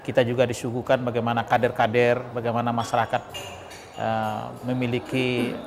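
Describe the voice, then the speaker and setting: A man's voice: mid-range voice, completely flat delivery, normal speed. Earnest man explaining in an echoing hall. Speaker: interview guest. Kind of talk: explanatory interview answers. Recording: echoing hall, noisy background.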